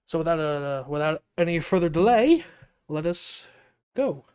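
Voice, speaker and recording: Male gaming creator, slightly high voice, rambling casual play-along commentary, thinking aloud. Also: expressive, measured pace, a little mellow, smooth. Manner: rambling, self-interrupting.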